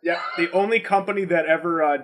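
A man's voice: on the high side, quick pace. A man chatting casually as a podcast host, talking in a rambling, slangy way with plenty of swearing. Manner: profane, slangy, animated rambling. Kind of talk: casual unscripted podcast chat.

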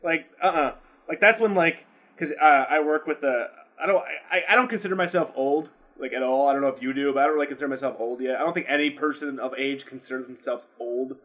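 A man's voice: medium pitch, fast, a bit bright. A man co-hosting a podcast in casual chat, talking in a profane, slangy and exclamatory way. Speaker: podcast co-host. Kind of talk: casual chat answering fan questions.

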